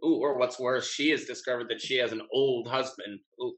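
A man's voice: medium pitch, fairly even intonation, brisk, a bit bright, lightly acted, rough recording. A young male actor playing a breezy, irreverent rake of a younger man in a jaunty period stage comedy. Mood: amused, mocking. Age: young adult. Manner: jaunty, mocking period speech. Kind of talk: Restoration-style stage comedy over video call. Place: home room with bookshelves, video call.

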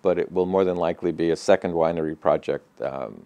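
An older man answering interview questions in an office, speaking in a measured, matter-of-fact way with frequent fillers and restarts. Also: deep voice, no echo, dull audio. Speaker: regional center president, interview guest. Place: office with bookshelves, indoors. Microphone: lapel mic.

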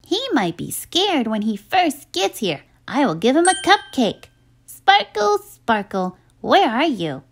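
A woman's voice: sits high, very animated, takes it slowly, vocal fry, exaggerated voice. A woman voicing an eager, bossy, easily exasperated little girl in a children's storybook, emphatic and exclaiming. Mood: eager, dreamy.

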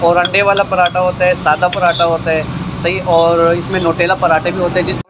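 A man's voice: high-pitched, little pitch movement, brisk. A young man who runs a tea stall, answering interview questions with many fillers. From Pakistan. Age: young adult. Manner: keeps agreeing, with many fillers. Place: roadside tea stall at night, outdoors. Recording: loud street traffic noise.

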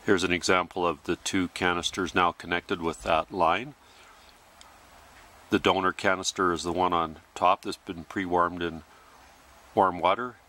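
A man giving a plain, methodical how-to voice-over about refilling camping fuel canisters, pausing between phrases. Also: sits low, pushed and bright. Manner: plain, methodical explaining with pauses. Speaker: gear demonstrator. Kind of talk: instructional voice-over.

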